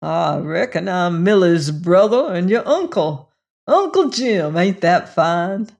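A woman voicing a gentle, protective cowboy gunslinger with a rich voice and a folksy Western drawl in a storybook reading. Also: fairly low pitch, very animated, slow, gravelly, exaggerated voice. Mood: warm, earnest.

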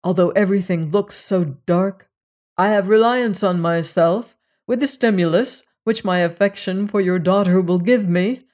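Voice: medium pitch, moderate pace, a little mellow, natural voice. A reader voicing an earnest young man who pleads his case with strained restraint. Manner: earnest, restrained, then defiant.

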